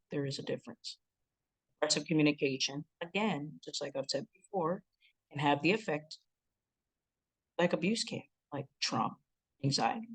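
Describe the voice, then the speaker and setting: A relationship educator with a deep, booming chest voice, giving a lively instructional talk. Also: low-quality recording. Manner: lively, breaks into acted quotes and laughs.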